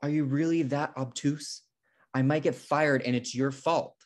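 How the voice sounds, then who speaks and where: A man's voice: a little high, fairly even intonation, measured pace, natural voice. A man playing an uptight, exasperated twin brother, indignant and earnest, in a sitcom table read on a video call. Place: indoor room at home on video call.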